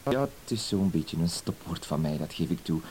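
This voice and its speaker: A man's voice: sits low, expressive, normal speed, darkish tone. An actor playing a fussy, hesitant, apologetic blackmailer in a radio play, circling around his point with verbal tics. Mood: flustered, apologetic. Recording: hissy old radio play recording.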